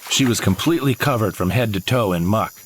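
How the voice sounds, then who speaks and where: A man voicing a male sidekick who narrates his own space adventure in a measured storytelling way. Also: fairly low pitch, a little hiss or hum, natural voice. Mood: wry. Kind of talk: scripted audio drama narration. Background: background music.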